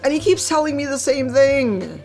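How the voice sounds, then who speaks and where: A woman streaming a game, chatting casually with a hoarse, croaky voice and a wry, chatty manner. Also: high-pitched, animated, moderate pace, a little mellow, soft background music. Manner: chatty, wry, reads chat aloud. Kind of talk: casual live-stream chat while gaming.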